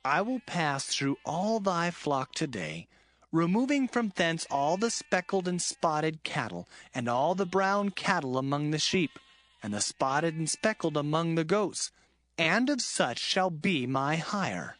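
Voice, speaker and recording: A man performs a resolute herdsman of ancient times for an audio Bible drama. His voice is on the high side and animated, with earnest, emphatic delivery. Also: a little slow. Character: Jacob, earnest, resolute biblical herdsman and husband.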